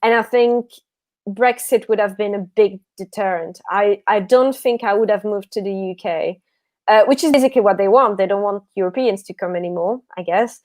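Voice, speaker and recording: Belgian-born woman chatting on a livestream, tired, keeps restarting sentences, mid-pitched voice. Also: normal speed, darkish tone. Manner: rambling, self-correcting, laughs often. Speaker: guest author. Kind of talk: casual livestream chat.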